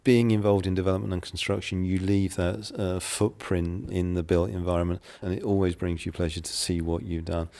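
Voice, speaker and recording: Man, English accent, middle-aged, very deep voice, gravelly, measured business talk. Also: mellow. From England. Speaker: guest, property developer. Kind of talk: interview answers.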